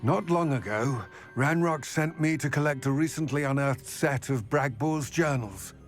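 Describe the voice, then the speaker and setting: A man acts as a weary male goblin in a fantasy game, grave and wary of wizards. He speaks formally in measured, full sentences at an ordinary male pitch, over faint music. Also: close to an everyday voice. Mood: grave, explaining. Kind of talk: video game cutscene acting.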